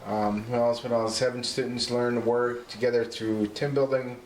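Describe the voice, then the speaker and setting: A man's voice: medium pitch, flat delivery, moderate pace, slight background noise. Easygoing man in a roomy space. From Mexico. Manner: chatty and easygoing, trails off with fillers. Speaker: guest. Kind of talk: casual live-stream chat. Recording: roomy echo.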